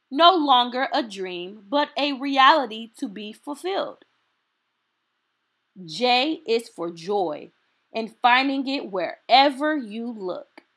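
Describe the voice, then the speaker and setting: Slow, lilting high-voiced woman reading aloud. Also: bright, forceful tone. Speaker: storytime host reading aloud. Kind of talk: children's picture book read-aloud.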